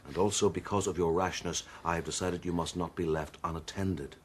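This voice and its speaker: A man playing a cold, controlling gentleman who rules wife and servants with formal commands in a period radio drama. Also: low voice, fairly even intonation, a little fast, a little hiss or hum, natural voice. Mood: cold, stern. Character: cold, controlling gentleman husband, master of an estate.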